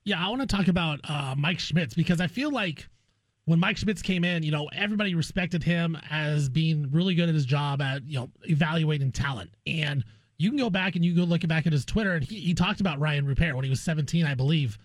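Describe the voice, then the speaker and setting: Guy filling in as sports radio host, chummy and informal, voice a bit high, dry studio sound. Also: brisk, dry recording. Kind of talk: sports talk radio interview.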